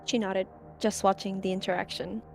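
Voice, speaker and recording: Female roleplayer, mid pitch, soft and hesitant, narrating and playing a cat over faint music. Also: flat delivery, moderate pace, gravelly. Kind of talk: online roleplay, narrating and voicing a cat character.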